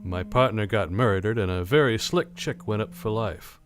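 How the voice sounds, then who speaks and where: An actor playing a hard-boiled, cynical private eye, narrating a radio play in slangy, matter-of-fact noir style. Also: male voice, lowish voice, moderate pace, close to an everyday voice. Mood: cool, wry. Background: music.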